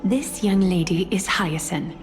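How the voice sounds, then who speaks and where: A woman playing a composed, regal noblewoman in a video game, speaking in a soft, formal, courtly and measured way. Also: on the low side, over music, lightly acted. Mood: poised, courteous.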